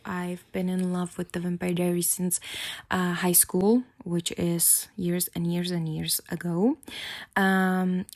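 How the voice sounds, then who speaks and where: Czech-accented woman, thirties, solo podcast host, chatty and eager, low gravelly voice. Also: a little mellow. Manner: chatty, enthusiastic. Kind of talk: solo fan podcast chat.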